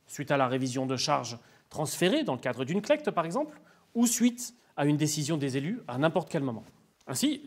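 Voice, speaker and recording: Formal male expert, slightly high voice. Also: a little mellow. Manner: formal, didactic. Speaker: expert interviewee on intercommunal finance. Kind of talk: structured, prepared explanation in an interview.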